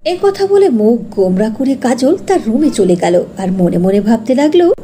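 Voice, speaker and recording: A woman's voice: on the high side, big pitch swings, normal speed, dark tone, a little hiss or hum. A woman narrating a scripted fairy tale for a cartoon.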